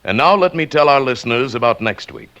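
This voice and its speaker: A man's voice: mid-range voice, lively intonation, a little fast, fairly bright tone, dull audio. A man hosting a 1940s radio show, delivering scripted announcements in a polished, dramatic manner. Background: faint music.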